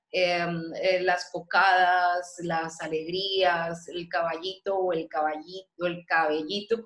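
A woman teaches food history in an online talk, her voice sitting at a medium pitch. She explains steadily, pausing on fillers and correcting herself. Her voice comes through a laptop mic in a roomy space. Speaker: cook and gastronomy history teacher. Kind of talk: online lecture over slides. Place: indoors at home, video call. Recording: roomy webinar audio.

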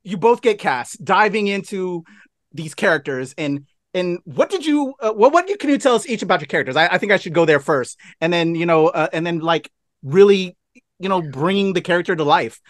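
Guy hosting a casual interview, pretty high voice, expressive, really dry audio. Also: brisk, no room sound. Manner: expressive, gesturing. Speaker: interviewer, host. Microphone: video call.

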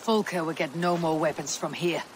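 A woman voicing a fierce, vengeful Viking warrior leader in a video game, with a gravelly voice and solemn, declamatory delivery. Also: steady tone, moderate pace, a little hiss or hum, close to an everyday voice. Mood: grim resolve. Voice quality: gravelly, low-toned delivery. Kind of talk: dramatic video game acting.

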